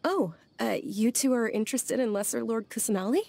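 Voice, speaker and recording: Gentle, high-voiced, creaky young devotee. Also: female voice, fairly quick, background noise. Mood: polite. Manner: polite, soft-mannered, hesitant, apologetic. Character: gentle, polite young woman, devout follower of a deity. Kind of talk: video game voice acting. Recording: faint music behind the voice. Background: background music.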